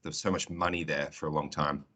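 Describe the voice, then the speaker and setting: A man's voice: a bass voice, level tone, brisk, pushed and bright. A man, teaching an online class, friendly and chatty, joking with his students as he calls on them. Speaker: English teacher. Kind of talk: online class roll call.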